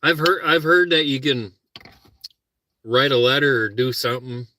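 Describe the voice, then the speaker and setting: Chatty Minnesota man, bright dry sound. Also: medium pitch, moderate pace, dry recording. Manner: rambling, self-deprecating, talks to viewers and his dog. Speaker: car-camping vlogger. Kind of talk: casual live chat. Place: parked car while car camping.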